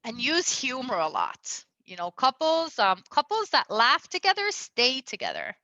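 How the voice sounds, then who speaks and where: Woman, raised in Venezuela, relationship coach, bright tone, rambling fillers, slightly muffled sound. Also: high-pitched, huge pitch swings, unhurried, no room sound. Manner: chatty, lots of fillers, laughs often. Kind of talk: casual advice talk.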